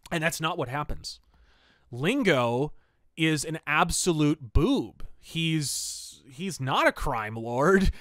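A man's voice: a little high, fairly bright tone, dry recording, clean studio sound. A man hosting a review podcast, talking casually and enthusiastically with emphatic, colloquial storytelling. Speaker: host of a pulp fiction review podcast.

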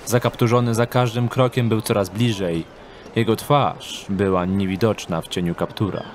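Lowish-voiced male story narrator. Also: fairly even intonation, moderate pace, slight background noise. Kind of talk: scripted audiobook narration of an audio drama. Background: background music and street ambience.

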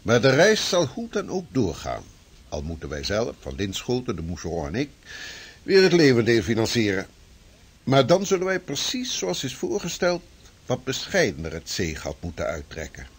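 An actor playing a hearty, canny minister and seafarer in a period radio drama, with expressive, rather pompous old-fashioned phrasing. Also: male voice, medium pitch, normal speed, husky. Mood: businesslike.

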